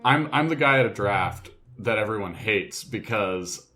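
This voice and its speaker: A male podcast co-host speaks in a fairly low voice. He chats casually and slangily, tossing in jokes in the manner of someone talking with friends. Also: expressive, unhurried, pushed and bright, faint music behind. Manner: joking, slangy. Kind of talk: casual chat. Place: indoors in a dining room at home.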